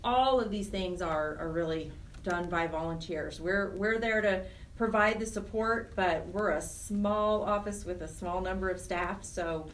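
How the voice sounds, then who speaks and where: A woman's voice: mid-range voice, measured pace, recorded from a distance, slight background noise. A woman speaking conversationally and earnestly as a nonprofit director being interviewed, stressing points by repeating words. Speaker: nonprofit program director, radio interview guest.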